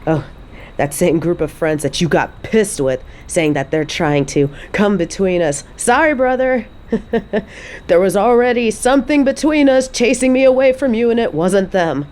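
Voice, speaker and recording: A woman's voice: mid-range voice, animated, a little slow, darkish tone, natural voice. A woman playing a fed-up, defiant mother confronting her toxic ex in a dramatic audio roleplay, scornful and emphatic. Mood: angry, exasperated.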